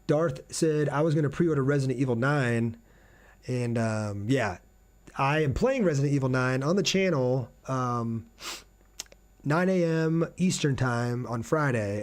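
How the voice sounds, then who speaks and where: A man's voice: medium pitch, a little mellow. A man hosting a game livestream, talking casually and reading out viewer comments as he answers them. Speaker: game streamer, host. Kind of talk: casual livestream chat over gameplay.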